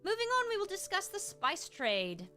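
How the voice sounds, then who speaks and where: Woman, British accent, bright crisp-edged tone, animated intonation, gracious explanatory streamer teaching, faint background music. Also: extremely high pitch, normal speed. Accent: British, put on. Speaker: live streamer and host giving a lesson. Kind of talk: livestreamed educational lesson with chat replies.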